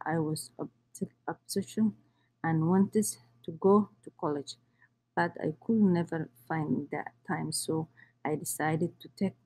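Woman in her 30s, reading her story slow and halting, low mellow voice. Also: a little hiss or hum, low-quality recording. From Somalia. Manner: halting, with restarts and non-native grammar. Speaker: immigrant storyteller.

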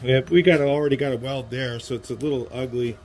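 A man's voice: medium pitch, brisk, poor audio. Plainspoken man teaching welding outdoors. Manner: relaxed, plainspoken, talks while working. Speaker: garage welding instructor. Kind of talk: casual hands-on demonstration. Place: outdoor driveway workshop.

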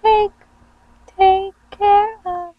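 Tiny, very high-pitched android girl. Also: level tone, no room sound, highs cut off, exaggerated voice. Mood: eager, innocent. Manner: halting, parroting single words and short phrases. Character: innocent, childlike android girl learning to speak. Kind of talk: amateur anime fandub.

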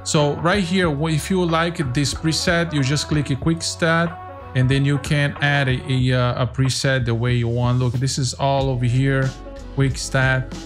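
A man's voice: mid-range voice, steady tone, a little slow. Man giving a casual tutorial over music. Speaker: tutorial host. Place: small home streaming room. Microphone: close desk microphone.

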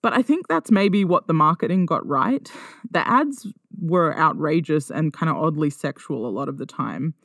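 A man's voice: on the high side, no echo, studio quality. A man hosting a podcast, reading scripted narration in a wry, informal way with conversational asides. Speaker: podcast host.

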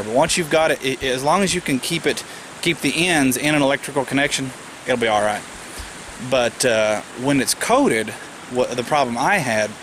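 Male hobbyist vlogger, thirties, mid-pitched, casual and practical, outdoor camera audio with heavy ambient noise. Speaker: amateur radio hobbyist and vlogger. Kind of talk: casual how-to explanation. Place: outdoors in a rural yard. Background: loud outdoor ambient noise.

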